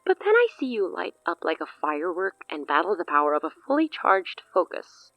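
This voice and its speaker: Woman acting a low-voiced, smug villainous sorceress. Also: no room sound, highs cut off. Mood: amused, intrigued. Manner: theatrical, taunting, chuckling condescension. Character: smug, menacing villainous sorceress, amused and theatrical. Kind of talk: audio drama acting.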